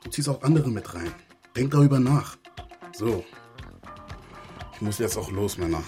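A man's voice: on the low side, animated, moderate pace, mellow, soft background music, close to an everyday voice. An actor playing a cautious, plain-spoken man who warns his friends bluntly in a radio drama. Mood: blunt, warning. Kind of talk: naturalistic radio drama acting.

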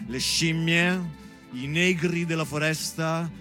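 A man's voice: fairly high pitch, steady tone, takes it slowly, sharp tone, soft background music. A man performing a solo stage monologue, moving between recited lists and ironic conversational asides with rhetorical questions.

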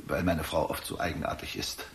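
A man's voice: extremely low pitch, steady tone, brisk, a bit bright, a little hiss or hum. An actor playing an anxious, insistent older father in a German radio drama, agitated and indignant, tripping over his words. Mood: defensive, flustered. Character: anxious, bitter, insistent father accusing his own son.

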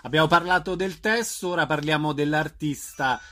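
A man asking conversational interview questions, with measured, slightly hesitant phrasing. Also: on the high side, bright, forceful tone. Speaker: radio interviewer.

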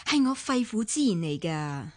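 An actress playing a sharp-tongued young woman with a Hong Kong Cantonese accent, lecturing and sarcastic. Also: medium pitch, very animated, moderate pace, no echo, close to an everyday voice. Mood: scornful. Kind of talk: 1990s radio drama acting.

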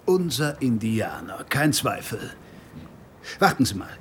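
An actor playing a gnarled, crafty army sergeant in a German radio drama, with a clipped, deferential military manner. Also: male voice, medium pitch, big pitch swings, measured pace, slight background noise. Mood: probing, matter-of-fact. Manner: military, clipped, matter-of-fact.

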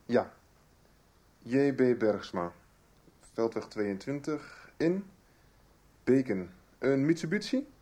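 A brisk amateur sleuth, medium pitch. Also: male voice, dark tone. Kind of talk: 1990s Dutch radio soap acting.

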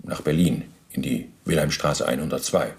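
Low, creaky matter-of-fact ex-officer. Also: male voice, moderate pace. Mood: matter-of-fact, self-justifying. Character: former SS officer under interrogation, matter-of-fact, self-justifying. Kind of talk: radio drama testimony, recounting at length.

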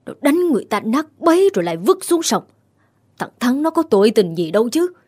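A woman's voice: fairly high pitch, big pitch swings, brisk, darkish tone, husky. Woman voicing an outraged older villager. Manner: outraged, scornful.

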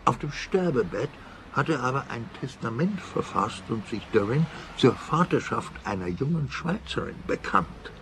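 An actor playing a jumpy, blustering older emigrant back from America, mixing in English phrases, in a radio play. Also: male voice, mid-range voice, very animated, moderate pace, fairly bright tone, slight background noise, low-quality recording. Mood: confiding. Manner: indignant, peppered with English phrases.

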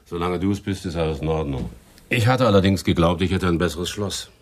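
An actor playing a world-weary, wry private detective, narrating and acting in a hard-boiled radio drama with laconic, dry delivery. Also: male voice, deep voice, fairly quick, a little mellow. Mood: wry, wary. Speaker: narrator and lead character.

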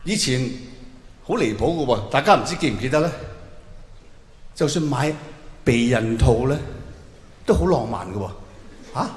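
A man's voice: mid-range voice, animated, moderate pace, pauses often. A man with a Hong Kong Cantonese accent doing a nostalgic stand-up comedy monologue, colloquial, teasing the audience with rhetorical questions. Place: on stage in a large hall. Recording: echoey hall, faint noise, live show.

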